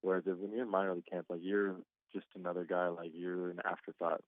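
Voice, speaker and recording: A man's voice: low voice, quick pace. A man of twenty-five, a guest baseball player, talking casually by phone and laughing often. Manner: relaxed, chatty, laughs often. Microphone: phone call. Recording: tinny dry phone line.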